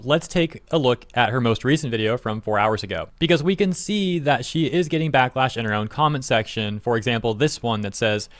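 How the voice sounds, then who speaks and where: A young man talks through online drama for a reaction channel in a blunt, slightly sarcastic tone. His voice sits at an ordinary middle pitch, and the sound is dry and close with little room around it. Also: a bit bright, no room sound. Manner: blunt, exasperated, mildly sarcastic. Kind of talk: casual commentary, reading comments aloud.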